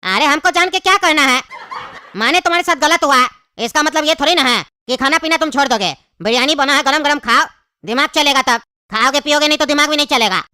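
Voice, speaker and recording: A high-voiced performer playing a sarcastic, blunt biryani server in a jail comedy cartoon, with Bihari-style Hindi. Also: fast talker, sharp tone, no room sound, studio quality. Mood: brisk advising. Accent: eastern Indian (Bihari-style) Hindi. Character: brusque, mocking jailhouse biryani server, cartoon.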